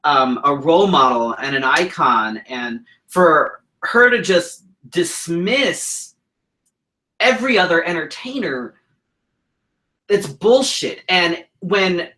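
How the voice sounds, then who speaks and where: Brief: male co-host, bright and forceful tone, blunt and profane, indignant delivery. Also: on the high side, sing-song, slow pace, rough recording. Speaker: co-host, drag king performer. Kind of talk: heated casual chat.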